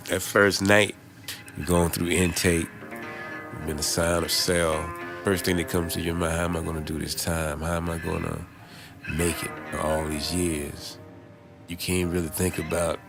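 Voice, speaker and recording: A man telling a personal story in an intense, colloquial, deliberate way. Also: low voice. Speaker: former prisoner turned poetry professor. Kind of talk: vivid, deliberate storytelling from experience. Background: guitar music behind the voice.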